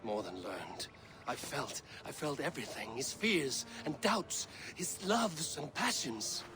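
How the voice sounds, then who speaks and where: An actor playing a solemn, intense Norse warrior in a cinematic video game, speaking in grave, deliberate, formal phrases. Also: male voice, medium pitch, expressive, noisy background. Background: music.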